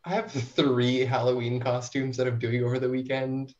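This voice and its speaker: A woman's voice: extremely low pitch, fairly even intonation, moderate pace, darkish tone, highs cut off. A young woman talking casually as a podcast guest, in a chatty, informal and self-reflective way. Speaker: podcast guest, short story writer. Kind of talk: casual interview chat.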